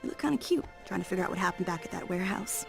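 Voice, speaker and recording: Flirty, lively female cat burglar. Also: on the low side, rapid-fire, gravelly, over music. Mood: teasing, flirty. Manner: coy, playful taunting. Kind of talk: video game cutscene acting.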